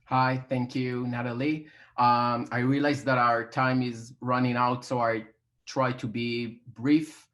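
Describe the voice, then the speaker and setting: A man with a medium-pitched voice and an Israeli accent speaks as a panelist. He is a native Hebrew speaker talking without a script. His delivery is halting as he searches for words. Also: flat delivery, fairly bright tone. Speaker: PR agency head, panelist. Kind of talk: informal unscripted panel talk.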